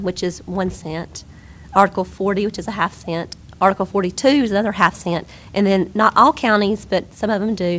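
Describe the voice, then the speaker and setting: A woman getting over a cold, her voice squeaky, giving a briefing to a county board. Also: fairly bright tone. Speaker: presenter on sales tax. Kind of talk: briefing presentation. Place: county board meeting room.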